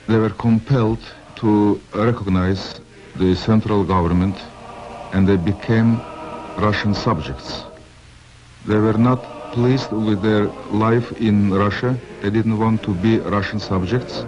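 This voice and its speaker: A man speaking deliberately in an interview, pausing mid-sentence as he recounts his people's history. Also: fairly low pitch, fairly even intonation, background noise. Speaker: community elder interviewee. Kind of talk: slow storytelling interview. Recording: dull old film sound with music.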